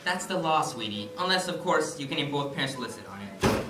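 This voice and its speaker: A man's voice: on the high side, animated, brisk, natural voice. A man acting in a stage play as a polite but unbending, patronising adoption home clerk. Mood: dismissive, patronising. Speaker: stage actor. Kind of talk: one-act stage play dialogue. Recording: distant echoey room, music behind.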